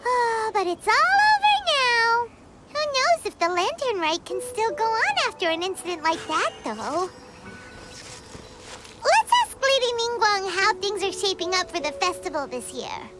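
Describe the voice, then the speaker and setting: A tiny female fairy sidekick from a game, chirping in a very high, bouncy voice that leaps all over the place. She is excitable and curious, with game music playing behind her. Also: measured pace, heavily acted. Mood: worried. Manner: bubbly, exclamatory, curious. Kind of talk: game cutscene voice acting.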